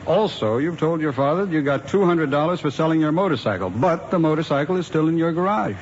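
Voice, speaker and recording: A man's voice: a little high, lively intonation, fast, close to an everyday voice. Firm police lieutenant played by a man, muffled recording. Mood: accusing. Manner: probing, dry, methodical. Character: firm, skeptical male police lieutenant questioning a suspect. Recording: dull, noisy old radio recording.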